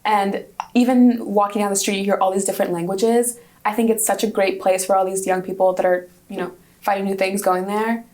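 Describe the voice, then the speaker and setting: A woman's voice: mid-range voice, flat delivery, brisk, a little mellow. Student guest, woman in her twenties, native Hungarian speaker; upbeat, chatty answers with restarts. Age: 20s. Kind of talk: casual interview answers.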